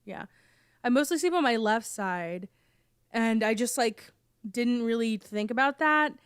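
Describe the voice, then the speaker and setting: Hoarse young woman chatting, coughing. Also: fairly high pitch, expressive, a little slow, dry recording, studio quality. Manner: rambling, lots of fillers and restarts. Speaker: podcast co-host. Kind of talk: casual chat.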